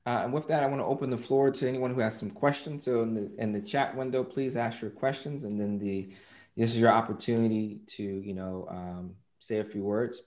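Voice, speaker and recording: A man's voice: on the low side, a little mellow. Upbeat male panel moderator. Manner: upbeat, brief affirmations. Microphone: video call.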